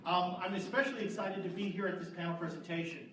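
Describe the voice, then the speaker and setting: A man's voice: high-pitched, fairly even intonation, fast, fairly bright tone. A priest in his fifties moderating a conference panel, speaking earnestly with frequent restarts. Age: 50s. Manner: earnest, with restarts and repeated words. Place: large echoey conference hall. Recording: echoey hall, distant, audible noise.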